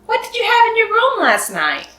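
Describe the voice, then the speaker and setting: Lady reading as exasperated scolding adult in a kids' book, very animated, echoey. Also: extremely high pitch, normal speed, voice pushed up, full character voice. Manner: stern, exasperated questioning. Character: scolding, reasonable-sounding grown-ups confronting Cindy. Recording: roomy, echoing sound.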